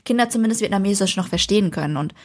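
A woman's voice: mid-range voice, rapid-fire. A woman hosts a casual podcast interview, curious and chatty, with youthful slang in her questions. Her voice sits in a middle range. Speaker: podcast host.

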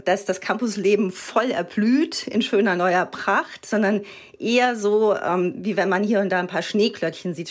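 A woman journalist answering interview questions about universities in an explanatory way, often pausing with fillers. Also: lowish voice. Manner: explanatory, uses hesitation fillers. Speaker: education journalist.

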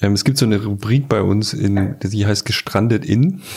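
A man's voice: on the low side, moderate pace, darkish tone, breathy edge. A man hosting a podcast, asking curious and playful questions in casual chat. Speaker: podcast host.

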